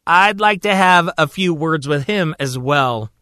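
A man's voice: on the high side, animated, a little slow, pushed and bright, no echo, studio quality, voice pushed up. Man acting an eager, casual male hero.

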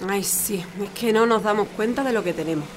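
A woman's voice: mid-range voice, brisk, slight background noise, close to an everyday voice. An actress playing a friendly, talkative, civic-minded married woman with an Andalusian accent in an audio drama, effusive and homely. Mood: earnest. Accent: Andalusian Spanish. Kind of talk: audio fiction acting.

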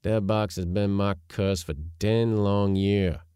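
A man's voice: on the low side, steady tone, slow, warm tone, smooth, no echo, clean studio sound. A man voicing a weary old male servant who mutters and grumbles in a storybook reading. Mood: grumbling.

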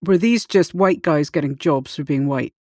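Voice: mid-range voice, moderate pace, darkish tone, dry recording, studio quality. A host narrating a scripted report and putting questions to a guest.

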